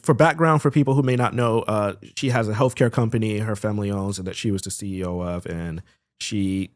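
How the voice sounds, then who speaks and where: A man's voice: lowish voice, fairly quick, no room sound, clean studio sound. A young man from Florida running for Congress, answering interview questions earnestly with frequent ums and uhs. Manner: earnest, fills with "um" and "uh". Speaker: congressional candidate.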